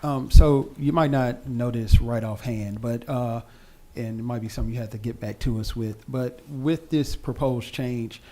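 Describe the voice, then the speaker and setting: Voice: medium pitch, moderate pace, mellow. A board member asking careful, polite questions at a meeting.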